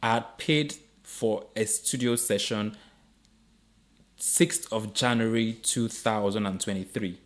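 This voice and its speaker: A man hosting a podcast, chatting with his guest in a relaxed and encouraging way. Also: fairly low pitch, slow. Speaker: podcast host. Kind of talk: casual interview chat.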